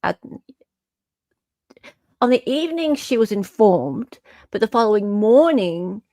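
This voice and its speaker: A woman's voice: medium pitch, no echo. A woman with an Australian accent hosting a casual commentary, thinking aloud and rephrasing as she works through details. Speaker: host.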